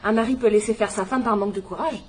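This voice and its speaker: An actress playing a fussy, patronizing live-in companion in a dubbed drama, with a gossipy, condescending manner. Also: female voice, medium pitch, fast talker, a little mellow, a little hiss or hum, natural voice. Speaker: companion and housekeeper.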